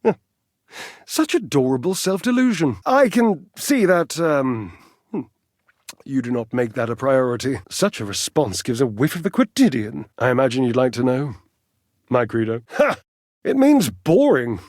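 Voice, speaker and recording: An actor playing a vain, athletic talking appliance in a dating game, pompous and petulant, with flamboyant, condescending, wordy delivery. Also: male voice, mid-range voice, very animated, moderate pace, darkish tone, no room sound, clean studio sound. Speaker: video game character actor. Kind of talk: theatrical dating-game character acting.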